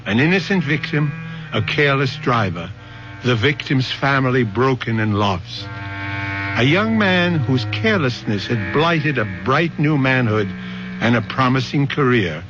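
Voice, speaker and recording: Animated, theatrical male radio host. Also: medium pitch. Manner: theatrical, portentous, measured delivery. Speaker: host and narrator. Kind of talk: dramatic scripted radio narration. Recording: dull old radio broadcast with music.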